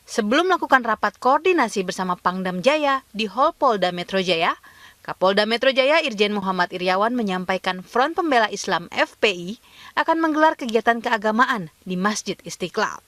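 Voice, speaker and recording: A woman's voice: mid-range voice, expressive, normal speed, fairly bright tone, dry recording. A woman reading a formal scripted news report as a voice-over. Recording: crowd noise behind voice-over. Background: crowd.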